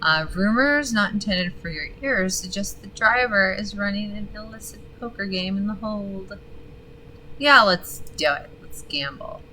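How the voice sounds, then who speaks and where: Gravelly woman streamer, bright lower voice, rambling and swearing over a faint hiss. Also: a little slow, poor audio. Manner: chatty, swears freely, reads game text aloud. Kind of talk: casual gaming stream commentary.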